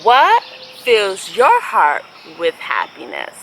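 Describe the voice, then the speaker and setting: A woman hosting a children's storybook read-aloud, speaking in an expressive, deliberate way with drawn-out phrasing. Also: mid-range voice, slight background noise, low-quality recording. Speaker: host reading picture books aloud for children.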